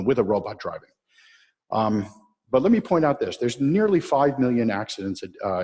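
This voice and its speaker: A man's voice: fairly low pitch, breathy edge. A man giving a public talk on automation, walking an audience through video clips with frequent fillers. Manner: steady explaining, with frequent uh fillers. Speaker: presenter showing video clips. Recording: reverberant hall.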